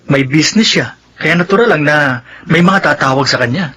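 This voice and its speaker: An actor playing an easygoing, reasonable younger brother in a Filipino radio drama, with a Filipino accent. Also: male voice, on the high side, brisk, pushed and bright. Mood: casual, reasonable. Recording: radio broadcast with clear background noise.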